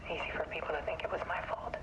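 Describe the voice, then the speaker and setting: An actress playing a haunted, weary photographer who gives a subdued, halting, somber confession in a dramatic video game monologue. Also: female voice, low voice, fairly even intonation, close to an everyday voice. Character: haunted woman photographer recounting her troubled relationship, weary and bitter. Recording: dull lo-fi sound with clear noise.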